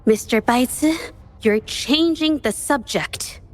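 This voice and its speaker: An earnest young painter. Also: female voice, fairly high pitch, slow, soft background music. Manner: earnest, hesitant at first, then resolute. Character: earnest young female painter standing firm. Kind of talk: video game voice acting.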